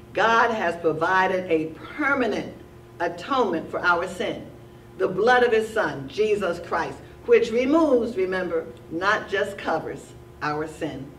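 A woman teaching a Sunday school Bible lesson at a church lectern, reading steadily and slowing down to stress key words. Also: mid-range voice, a little hiss or hum. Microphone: lectern mic, filmed from a distant camera. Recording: roomy church sound from a distance.

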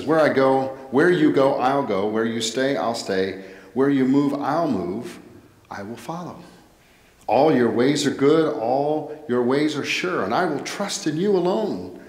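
A middle-aged American man delivers a sermon in an earnest, deliberate way, often shaping his thoughts as rhetorical questions. His voice sits at a medium pitch. The sound is echoey, with the long reverb of a church interior around his headset-miked speech. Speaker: pastor. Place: indoor church, at a pulpit. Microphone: headset microphone.

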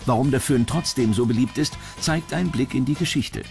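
A man's voice: medium pitch, normal speed, vocal fry, a little mellow. Male documentary narrator over music. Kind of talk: scripted TV countdown narration. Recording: music behind the voice. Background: background music.

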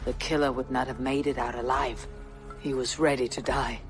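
A woman's voice: a bass voice, fairly even intonation, moderate pace, faint music behind. An actress playing a stoic, blunt Viking warrior woman in a game cutscene, speaking in a terse, dry, matter-of-fact way. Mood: grave, measured.

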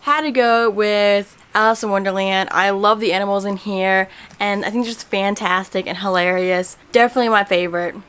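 A young woman in a casual book vlog, talking in a chatty, relaxed way with many fillers, recorded at home by a camera at desk distance. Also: medium pitch, a little fast, clean tone. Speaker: book vlogger. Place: home room in front of bookshelves.

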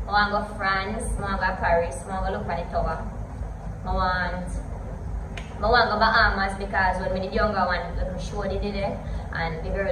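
A woman's voice: on the low side, distant mic. An eighteen-year-old Jamaican woman chatting casually in a vlog, mixing English and Patois while gesturing with her hands. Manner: chatty, switches between English and Patois, animated hand gestures. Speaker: vlogger. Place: home gym room, indoors. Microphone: camera a few feet away. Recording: echoey room, voice off-mic, background hiss.

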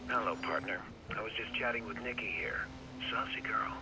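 An actor playing a smug, threatening criminal blackmailer in a video game, taunting and sardonic. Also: male voice, lowish voice, moderate pace, natural voice. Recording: phone call over car noise.

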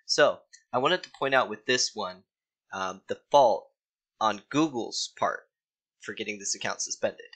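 Young male consultant, bright and forward tone, talks loosely with fillers while recording his screen at a desk. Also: fairly low pitch, a little slow. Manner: casual, frequent ums, mildly exasperated. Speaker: ads account consultant.

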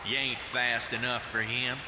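A man's voice: mid-range voice, flat delivery, normal speed, lightly acted. An actor playing a brash, menacing cowboy henchman in a video game, drawling folksy threats in a Southern American accent. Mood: menacing, taunting. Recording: echoey cave, loud water hiss.

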